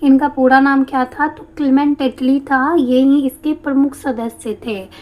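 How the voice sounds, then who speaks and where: A woman teacher with a slightly hoarse throat, explaining a history lesson step by step and answering her own questions. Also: sits high, fairly even intonation, measured pace, lo-fi sound. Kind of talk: exam-prep history lesson.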